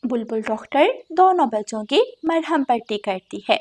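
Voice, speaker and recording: High-voiced woman narrating a children's story. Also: expressive, normal speed.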